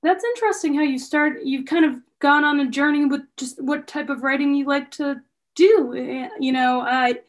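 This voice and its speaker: High-voiced woman interviewer. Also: normal speed. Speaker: interviewer, host. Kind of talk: casual interview chat.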